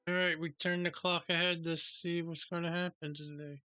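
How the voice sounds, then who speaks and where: A man's voice: on the high side, level tone, moderate pace, sharp tone, no room sound. A man giving casual live commentary as a game streamer, chatting informally with viewers. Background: game music.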